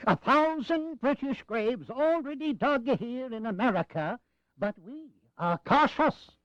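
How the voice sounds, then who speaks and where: An actor playing an elderly, wry American statesman in an audio drama, speaking deliberately and sardonically. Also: very high voice, animated, a bit bright, dry recording, highs cut off, heavily acted. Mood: sardonic.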